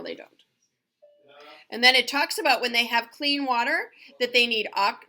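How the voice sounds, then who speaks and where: A woman's voice: fairly high pitch, gravelly, sharp tone. A middle-aged woman giving a read-aloud lesson to young children, explaining with emphasis as a teacher does. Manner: emphatic, explanatory, with questions to listeners.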